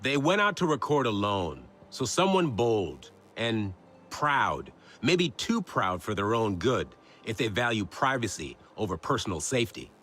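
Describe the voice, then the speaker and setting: An actor playing an easygoing tech tinkerer in a video game, with a wry, teasing manner. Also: male voice, lowish voice, very animated, a little slow, a bit bright, heavily acted. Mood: amused. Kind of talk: video game dialogue acting.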